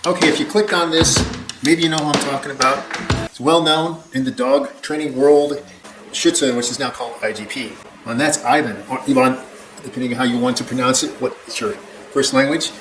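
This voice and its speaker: A man's voice: medium pitch, fairly even intonation, a little fast, fairly bright tone, soft background music. An older man, a longtime dog trainer, giving a blunt, rambling reaction commentary with the odd chuckle. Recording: echoey room with faint background hum.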